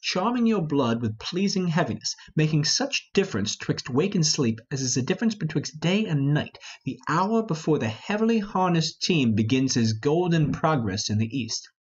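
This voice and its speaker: A man's voice: a little high, big pitch swings, moderate pace, few pauses, slightly rough, dull audio. A man playing a commanding, solemn older lord and father in an amateur Shakespeare reading, declaiming the verse. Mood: grand, solemn.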